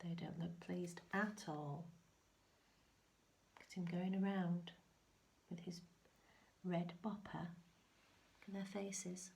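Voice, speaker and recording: A woman reads a children's picture book at a slow, unhurried pace. She moves between sing-song storytelling and softer, hushed remarks on the pictures, like an infant school story reader. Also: lowish voice, creaky. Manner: expressive sing-song reading, hushed asides. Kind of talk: children's picture-book read-aloud with commentary.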